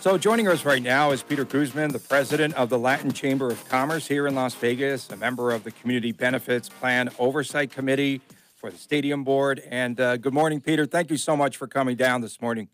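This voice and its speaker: A man's voice: medium pitch, brisk, fairly bright tone, soft background music. A man hosting a sports radio show, friendly and jokey as he introduces and questions a guest. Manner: friendly, jokey, laughs often. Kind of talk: casual radio talk. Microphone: studio microphone.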